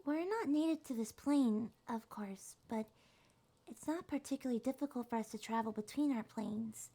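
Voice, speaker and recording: A woman voicing a small, shy, gentle monster girl in an ASMR roleplay, speaking softly, hesitantly and reassuringly. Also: fairly high pitch, moderate pace. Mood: gentle, chatty. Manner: hesitant, soft-spoken, reassuring.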